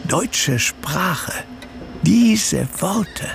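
An actor in a radio play as a weary, self-absorbed middle-aged revolutionary, muttering haltingly and drifting into whispers. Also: male voice, sits high, big pitch swings, creaky, full character voice. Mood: obsessive rapture. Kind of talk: radio play acting, interior monologue. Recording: loud music under the voice.

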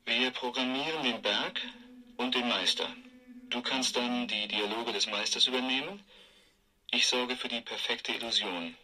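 An actor playing an eccentric, scheming computer genius in a radio drama, with an odd, emphatic delivery. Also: male voice, mid-range voice, fairly even intonation, moderate pace, bright, forceful tone, rough recording. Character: eccentric male computer genius devoted to his guru. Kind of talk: German radio drama acting.

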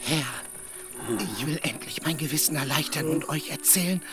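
A man playing an earthy, loyal peasant squire in a radio play, blunt, excitable and pleading. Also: on the high side, moderate pace, natural voice. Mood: confiding, urgent.

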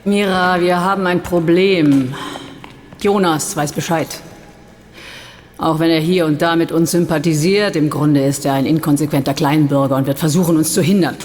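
A woman's voice: sits low, darkish tone, big-room sound, lightly acted. An actress playing a hard, determined urban guerrilla leader in a radio drama, commanding and cool in her delivery.

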